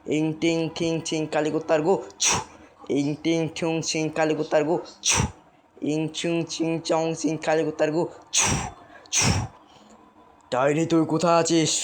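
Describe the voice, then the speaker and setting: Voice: mid-range voice, natural voice. A performer voicing a bossy male village exorcist in a cartoon, scolding and commanding. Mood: chanting. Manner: commanding, insulting, chanting. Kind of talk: amateur cartoon voice acting.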